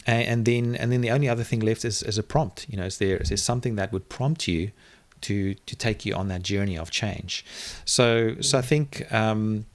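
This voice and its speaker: Man, native Afrikaans speaker, lowish voice, thoughtful, lots of ums and restarts. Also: normal speed, hardly any pauses. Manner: thoughtful, many fillers and restarts. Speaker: interview guest. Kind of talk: reflective conversational interview.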